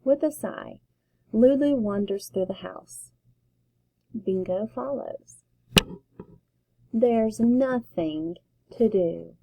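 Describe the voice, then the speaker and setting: A woman teacher reading a children's picture book aloud, with slow, expressive storytelling. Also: on the high side, creaky, poor audio.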